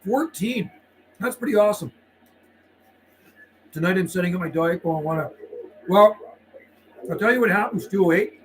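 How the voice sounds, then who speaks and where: A man's voice: high-pitched, moderate pace, long pauses, rough recording. A man working as a CB radio operator on a livestream, mixing radio calls with laid-back, friendly, slangy chat. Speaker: CB radio operator and live streamer.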